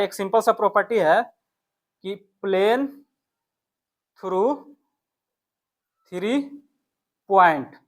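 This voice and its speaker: Casting: maths teacher, mid-pitched voice, walking students through exam problems step by step with frequent check-in tags. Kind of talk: exam-question lesson, explaining step by step.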